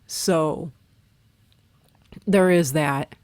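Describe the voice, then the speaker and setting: A woman narrating an art video in a casual voiceover, her voice still rough and weak from recovery, rambling and hesitant. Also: low voice, extremely slow, darkish tone, no echo, clean studio sound. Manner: rambling, hesitant, with fillers and restarts. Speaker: artist vlogger.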